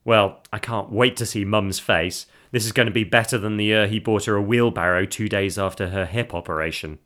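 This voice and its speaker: A man's voice: lowish voice, brisk, a bit bright, natural voice. A man voicing a sardonic daughter in a comic story, dry and mocking. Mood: gleeful sarcasm. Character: sardonic grown-up daughter mocking her parents.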